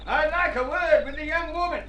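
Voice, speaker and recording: An actor playing a folksy, genial old retired country doctor in an old radio drama, hearty in manner. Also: male voice, very high-pitched, normal speed, reverberant, noisy background. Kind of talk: golden-age radio drama acting.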